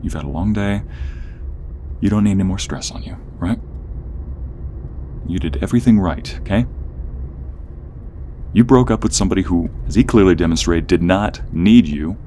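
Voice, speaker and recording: A man playing a protective, caring friend in an ASMR roleplay, speaking softly and haltingly, often dropping to a breathy near-whisper. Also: low-pitched, animated, slight background noise. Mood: protective, earnest. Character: protective, caring male friend, playful turning worried. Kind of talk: ASMR roleplay audio drama.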